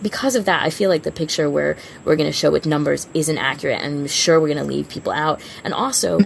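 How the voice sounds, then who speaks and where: A woman's voice: deep voice, quick pace, gravelly, a little hiss or hum, poor audio. A woman co-hosting a casual fan podcast, talking in an informal, rambling way and often interrupting herself. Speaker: podcast co-host.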